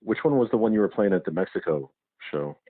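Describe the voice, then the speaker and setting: A man's voice: fairly low pitch, lively intonation, fast talker, dry recording. A man interviewing a musician over a muffled phone line in a casual, hesitant way, rambling through his questions.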